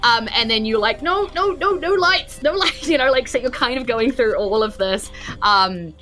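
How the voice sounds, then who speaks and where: A woman's voice: on the high side, expressive, moderate pace, a bit bright, soft background music. New woman running a tabletop game. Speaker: game master. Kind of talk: improvised tabletop game narration.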